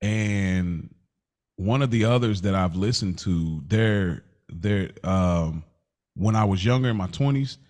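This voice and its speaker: A man in his thirties with an American accent and a low-pitched voice. He talks like a podcast co-host among friends, informal and full of slang. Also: takes it slowly, darkish tone. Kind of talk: casual banter and chat between friends.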